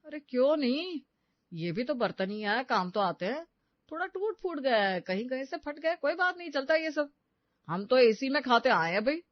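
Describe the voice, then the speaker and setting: A man's voice: extremely high pitch, big pitch swings, moderate pace, no echo, dull audio. An actor playing a stingy, lecturing young father in an acted family story, brushing off every request. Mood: breezy, dismissive. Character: stingy, dismissive young father obsessed with his new house. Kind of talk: acted Hindi audio story dialogue.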